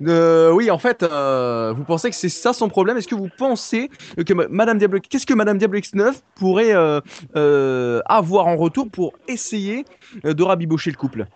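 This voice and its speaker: A man's voice: high voice, lively intonation, moderate pace, a little mellow. A man playing an earnest TV news anchor in a prank call, insistent and repetitive, stumbling over his words. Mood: mock serious. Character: earnest male TV news anchor on live breaking news. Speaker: prank caller. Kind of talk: mock live news reporting.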